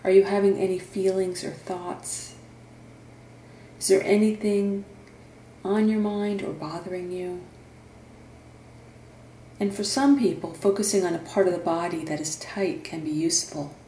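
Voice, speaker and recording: A woman leads a guided meditation at a very slow pace, with long pauses between gentle instructions. Her voice is soft-edged and mellow. It is recorded in a roomy, echoing space. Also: mid-range voice, fairly even intonation. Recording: roomy echo, faint background noise.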